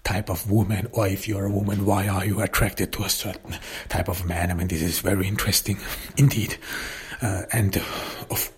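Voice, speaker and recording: A German-native man talks through his podcast in a very low, husky voice that barely moves in pitch. He stops and restarts often, filling gaps with hesitant sounds as he thinks aloud. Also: flat delivery. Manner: halting, many fillers and restarts. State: recovering voice after illness. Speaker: podcast host and author. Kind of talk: casual solo podcast talk.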